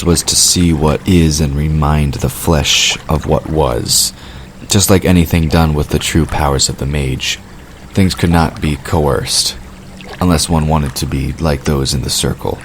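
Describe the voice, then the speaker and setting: A man reading scripted narration for a fantasy audio drama in a measured storytelling manner. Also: very deep voice, slight background noise.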